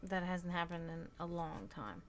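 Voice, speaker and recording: A woman in her thirties hosting a casual live stream, talking frankly and reflectively and often letting her sentences trail off quietly. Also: on the low side, animated, normal speed, a little hiss or hum. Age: 30s. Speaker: stream host.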